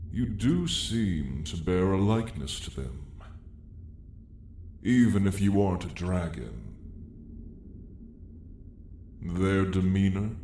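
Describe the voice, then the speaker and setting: Man voicing a slow, deep ancient dragon lord. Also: warm tone, exaggerated voice. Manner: slow, grandiose, wry, old-fashioned storytelling. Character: ancient male dragon lord, weary, dryly menacing retiree. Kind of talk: ASMR roleplay monologue. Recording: roomy echo, faint background noise.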